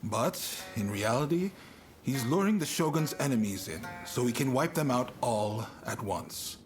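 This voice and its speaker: An actor playing a shrewd Shogunate official in a video game, speaking in a casual, confiding way. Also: male voice, mid-range voice, animated, unhurried, soft background music, natural voice. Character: shrewd Shogunate official recruiting trusted agents. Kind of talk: video game cutscene acting.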